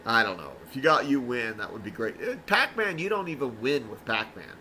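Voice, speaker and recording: A man commenting casually as he streams an old video game, rambling with plenty of ums and restarts. His voice is bright and forceful. A faint hum of noise sits under it. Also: mid-range voice, sing-song, brisk, low-quality recording. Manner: rambling, many fillers and restarts. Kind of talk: casual let's-play commentary.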